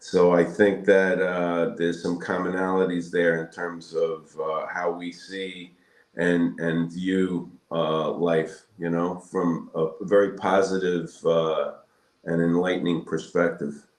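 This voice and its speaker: A man in his sixties from Brooklyn, answering interview questions in a measured, halting, reflective way with pauses between phrases. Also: deep voice, level tone. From Brooklyn, New York, United States. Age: 60s. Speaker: guest, periodontal surgeon. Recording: roomy echoing sound.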